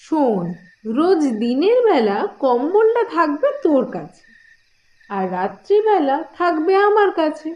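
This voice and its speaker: A woman's voice: high-pitched, big pitch swings, measured pace, highs cut off. A woman voicing a sly, bossy girl, the elder sister in a cartoon, with a coaxing, theatrical manner. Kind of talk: cartoon voice acting in a moral tale.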